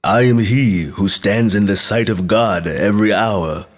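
A man's voice: on the low side, measured pace. A man voicing a solemn, lamenting archangel who intercedes for humanity, in a scripture reading.

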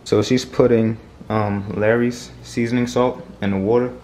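A man's voice: mid-range voice, level tone, normal speed. A young man co-hosting a home cooking vlog, chatting casually and informally in slangy speech. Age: young adult. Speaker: home cook and vlog co-host. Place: home kitchen, indoors. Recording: echoey kitchen with loud frying noise. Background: frying sizzle and stirring.

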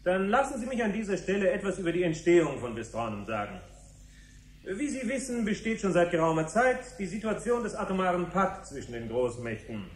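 High-voiced, formal official. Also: male voice, big pitch swings, brisk. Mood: formal, explanatory. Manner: formal, explanatory. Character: brisk, formal male official briefing on nuclear strategy. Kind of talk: 1970s German radio drama acting.

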